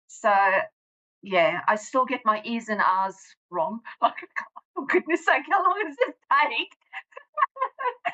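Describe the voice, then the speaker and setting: Bright-voiced South African woman, lo-fi. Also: fairly high pitch. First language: Afrikaans. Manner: plain-spoken, frequent restarts and laughs. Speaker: guest author and teacher. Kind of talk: casual interview chat.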